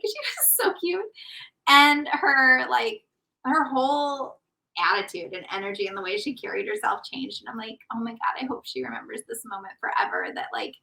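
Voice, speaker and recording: A woman in her thirties hosting a podcast as an intimacy coach, telling a story in a lively, casual way. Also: on the high side, far from the mic, poor audio.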